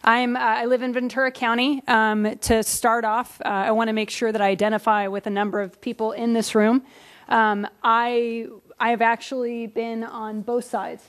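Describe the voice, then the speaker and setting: Woman, public comment, earnest, frequent pauses, podium microphone, meeting hall. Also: a little high, level tone.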